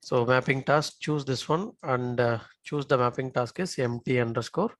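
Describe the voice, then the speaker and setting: A man giving a live software tutorial as an instructor, often checking in with short tag questions. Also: medium pitch, moderate pace, no room sound.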